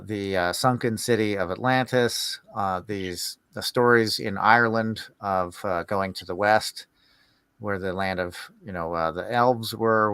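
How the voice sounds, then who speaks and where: A man hosting a podcast in casual, rambling talk, musing aloud in halting phrases. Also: lowish voice, sharp tone, clean tone, dry recording. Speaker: podcast host.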